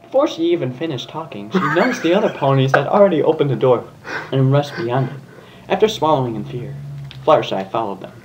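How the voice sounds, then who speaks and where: A man's voice: mid-range voice, animated, fairly quick, lo-fi sound. A man reading aloud informally and comically with banter, giggly, playful and prone to slips. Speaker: fan fiction co-reader.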